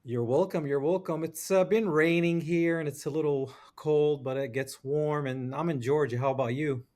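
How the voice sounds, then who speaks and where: A man's voice: fairly high pitch, steady tone, normal speed, darkish tone. Friendly male podcast host. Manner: friendly, welcoming. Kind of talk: interview. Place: Georgia, US.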